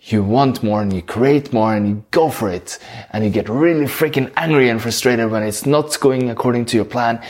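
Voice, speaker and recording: A man from Norway with a Norwegian accent, talking slowly about spirituality and surrender, pausing and correcting himself as he searches for words. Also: lowish voice. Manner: slow, searching, with many pauses and self-corrections. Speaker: spiritual speaker. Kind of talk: reflective spiritual talk.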